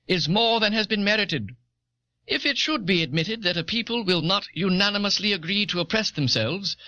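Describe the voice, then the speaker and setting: A man playing a learned eighteenth-century American statesman, reading historical writings in a formal, deliberate, argumentative manner on an old cassette. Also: high-pitched, sharp tone, dry recording. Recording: dull, dry old audio cassette.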